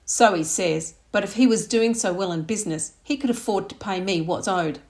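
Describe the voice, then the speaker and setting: A woman voicing a smooth, self-pitying young man in an audiobook, indignant and self-justifying. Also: mid-range voice, normal speed, a little mellow, close to an everyday voice. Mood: aggrieved.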